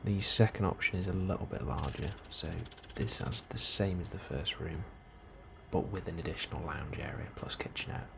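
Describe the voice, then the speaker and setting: A man in a soft, hushed ASMR role-play, speaking calmly and unhurriedly as a helpful colony immigration officer, close to the microphone. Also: sits low. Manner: hushed, unhurried, sometimes dropping into whisper. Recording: clearly audible background ambience noise.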